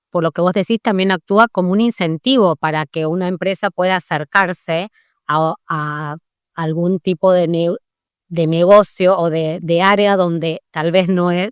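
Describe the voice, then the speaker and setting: A woman's voice: on the low side, clean tone, dry recording, studio quality. An Argentine woman hosting a podcast interview, thinking aloud in a conversational way with some restarts. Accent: Argentine Spanish. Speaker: podcast host.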